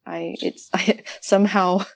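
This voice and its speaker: Lowish-voiced woman, thoughtful podcast guest. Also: little pitch movement, unhurried, vocal fry. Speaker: podcast guest, academic researcher. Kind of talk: conversational interview answers.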